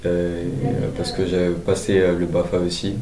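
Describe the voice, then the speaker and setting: A nineteen-year-old man, a civic service volunteer, speaks haltingly, filling gaps with hesitations. His voice is deep and flat. The sound is roomy and distant, with faint noise. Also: crowd noise. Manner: halting, many fillers. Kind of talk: personal testimonial.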